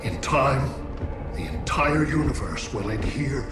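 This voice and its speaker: A cold, superior alien overlord, voiced by a man, speaking in measured, arrogant tones. He sounds a little distant in an echoey space, with loud game music behind him. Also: mid-range voice, lively intonation, natural voice. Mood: coldly superior.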